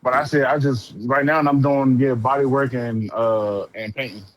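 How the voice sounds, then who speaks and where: A man's voice: medium pitch, steady tone, moderate pace. A talkative man chatting casually on the phone in slangy speech, often repeating himself as he goes. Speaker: prospective renter, self-taught auto body painter. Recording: noisy phone call.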